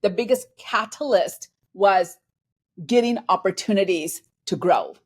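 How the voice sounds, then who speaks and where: A woman's voice: medium pitch, animated, measured pace, slightly rough. Earnest woman executive from Bangladesh. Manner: earnest, reflective, acts out quoted dialogue. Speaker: guest, finance and transformation executive. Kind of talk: conversational interview.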